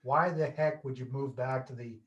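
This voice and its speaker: A man's voice: mid-range voice, steady tone, normal speed, highs cut off. A Canadian man in his fifties, a guest telling stories in a casual, jokey, self-deprecating way over a video call. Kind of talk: casual interview chat.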